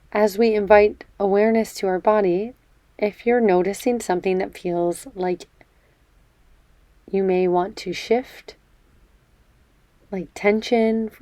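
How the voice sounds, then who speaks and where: Slow, mellow woman guiding meditation. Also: mid-range voice, no room sound. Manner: gentle, measured, soothing delivery with pauses. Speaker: meditation guide. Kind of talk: guided meditation, body scan.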